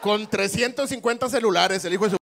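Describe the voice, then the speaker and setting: A middle-aged man with a Mexican Spanish accent doing stand-up crowd work on a handheld mic, brash and teasing, swearing and bursting into shouts. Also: high voice, a little fast, creaky, a little hiss or hum. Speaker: stand-up comedian working the crowd. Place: small comedy club stage with live audience.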